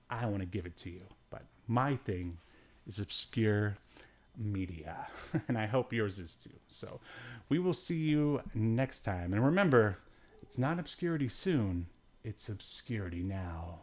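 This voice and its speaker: A man with a fairly low voice talks as a podcast host in a loose, casual livestream style. He rambles along and jokes dryly as he goes. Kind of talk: casual solo livestream chat about obscure media.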